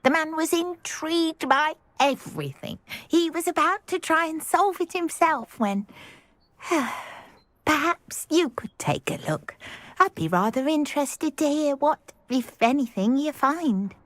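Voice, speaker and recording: Elderly village widow, chatty, genteel, animated intonation, close dry sound, fantasy game. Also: female voice, sits high, a little slow, no echo. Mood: chatty, intrigued. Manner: genteel, wordy, storytelling. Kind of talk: video game character acting.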